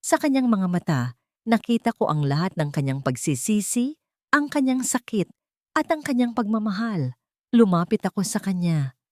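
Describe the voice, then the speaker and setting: A woman's voice: mid-range voice, big pitch swings, moderate pace, mellow, dry recording, clean studio sound. A woman with a Filipino accent narrating a scripted, dramatic romance story.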